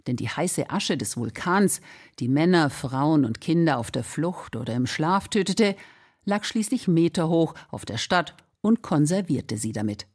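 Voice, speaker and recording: A woman's voice: sits low, animated, measured pace, a little mellow. A woman reading scripted narration for a radio feature as its reporter.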